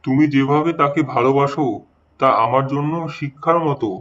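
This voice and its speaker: A man voices a serious, earnest father in a moral cartoon. His mid-pitched delivery is measured and deliberate, and the recording sounds a touch muffled. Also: steady tone, fairly bright tone, close to an everyday voice. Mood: resolute. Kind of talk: dramatised moral story dialogue.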